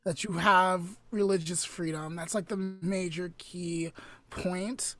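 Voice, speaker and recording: A woman's voice: on the low side, steady tone, slow pace, fairly bright tone. A young woman in casual podcast chat, talking with many fillers and restarts. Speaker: podcast co-host.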